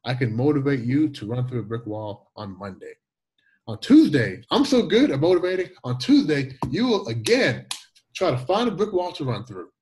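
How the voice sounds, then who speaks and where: A man in his forties with a Jamaican accent and a slight lisp, telling stories as an interview guest in an animated way, often repeating and restarting words. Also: medium pitch, normal speed, slightly rough, rough recording. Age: 40s. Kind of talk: conversational storytelling interview.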